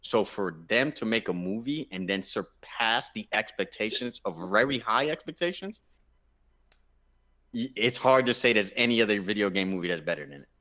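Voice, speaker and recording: A man's voice: lowish voice, expressive, moderate pace, sharp tone. A man on a podcast panel casually debating in an insistent way, often restarting his sentences as he argues. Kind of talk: casual group debate about movies and games.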